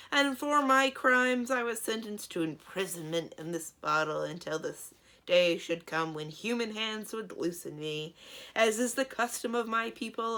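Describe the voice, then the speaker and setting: Woman voicing a loud, pompous giant djinn. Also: medium pitch, voice pushed up, natural voice. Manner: archaic, grandly declaiming speech. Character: huge booming imprisoned djinn, stern male, pompous.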